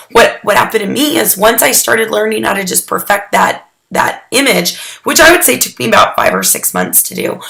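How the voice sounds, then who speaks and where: A hoarse young woman getting over a cold, talking casually through a photography critique, rambling with lots of "um"s and restarts. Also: medium pitch, fairly bright tone. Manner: chatty and rambling, with many "um"s and restarts. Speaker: photography mentor critiquing student work. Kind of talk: casual recorded critique addressed to students.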